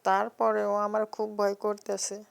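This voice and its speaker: A woman's voice: mid-range voice, completely flat delivery, measured pace, gravelly, smooth, no room sound, pitched above the performer's own voice. A woman voicing an anxious, earnest young male office worker visiting a village in a cartoon horror story. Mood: frightened.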